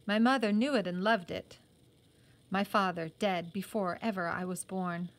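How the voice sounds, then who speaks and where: A woman's voice: medium pitch, moderate pace, lightly acted. A woman voicing a solemn, resolute orphaned teenage boy in a storybook, speaking in an earnest, declarative way. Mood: wistful.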